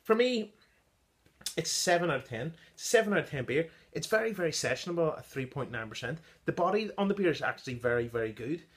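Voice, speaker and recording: A man's voice: a little high, expressive. Chatty man reviewing his home-brew. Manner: chatty, halting with many ums, tasting notes. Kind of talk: casual beer review vlog. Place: small indoor room with brewing keg. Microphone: device camera at close range.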